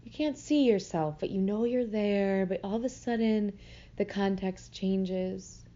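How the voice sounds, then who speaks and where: A woman leading a guided meditation in a soothing, gentle manner, unhurried with long pauses, on slightly muffled audio. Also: mid-range voice.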